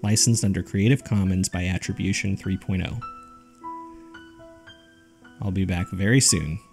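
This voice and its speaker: A man's voice: sits low, steady tone, measured pace, gravelly, darkish tone. A man reading scripted narration as the host of a horror fiction podcast. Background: background music.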